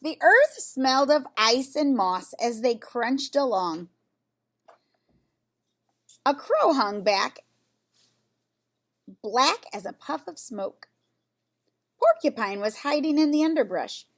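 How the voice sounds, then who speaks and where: A woman reading a children's storybook aloud in an expressive, deliberate way. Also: high-pitched. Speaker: storybook reader. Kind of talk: children's read-aloud narration with brief asides.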